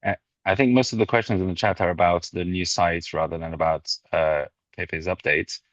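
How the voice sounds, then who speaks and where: A man with a very low voice moderates a community call, loose and a little searching. The sound is dry and dull, like lo-fi call audio. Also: fairly even intonation, normal speed, a bit bright, no echo. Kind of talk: casual video call moderation.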